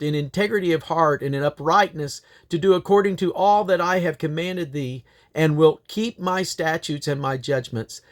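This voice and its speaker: A man reading scripture aloud in a solemn, declamatory way, with the occasional slip and correction. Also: fairly high pitch. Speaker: Bible reader.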